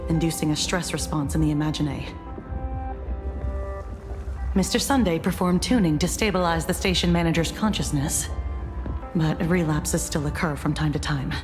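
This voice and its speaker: A woman voices a formal, matter-of-fact female attendant in a game. Her voice is a bit low and courteous, with long reverb around it. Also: brisk, natural voice. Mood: polite, factual. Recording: long reverb with music behind.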